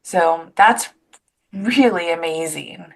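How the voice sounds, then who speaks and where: A woman's voice: fairly low pitch, takes it slowly, gravelly. Chatty woman podcast host. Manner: chatty, enthusiastic, rambling asides. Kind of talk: casual interview chat.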